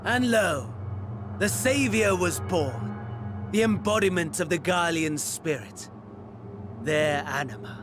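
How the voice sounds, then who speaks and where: A high-pitched male game villain, smug and theatrical. He draws out his phrases with grand, mock-reverent relish over prominent music. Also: lively intonation, slow. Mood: grandiose. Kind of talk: dramatic video game cutscene acting. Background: background music.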